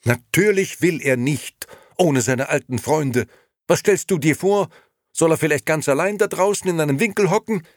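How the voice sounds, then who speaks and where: Man voicing a shrill, scolding innkeeper's wife. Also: moderate pace, dry recording, clean studio sound, natural voice. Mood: indignant, scolding. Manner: scolding, indignant, exclamatory. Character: fat, fiercely indignant innkeeper's wife, brusque, loyal. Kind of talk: audiobook character voice.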